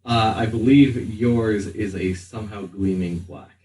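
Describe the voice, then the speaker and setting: A man's voice: lowish voice, unhurried, darkish tone, far from the mic. A man narrating a tabletop roleplaying game as game master, in a hesitant, improvised way. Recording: roomy, off-mic, faint room noise.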